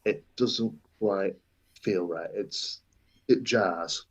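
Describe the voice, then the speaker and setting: A man's voice: sits low, extremely slow, lo-fi sound. A man talking casually in a podcast discussion.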